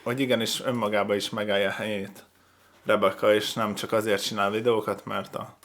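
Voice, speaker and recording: A man's voice: lowish voice, level tone, very noisy. Casual man hosting a reaction show. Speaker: host of a video reaction show. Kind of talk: casual chat, video commentary.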